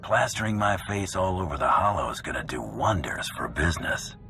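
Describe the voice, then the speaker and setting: A man playing a cocky male bounty hunter in a video game, with a gruff voice and a dry, sardonic manner. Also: deep voice, big pitch swings, normal speed, sharp tone, exaggerated voice. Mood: wry. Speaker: player character in a video game. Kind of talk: video game cutscene acting. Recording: music behind the voice.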